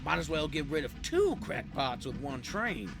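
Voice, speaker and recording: A performer voicing a gruff, menacing hillbilly thug with a rustic, ungrammatical drawl in a comic fan dub. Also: medium pitch, very animated, moderate pace, over music.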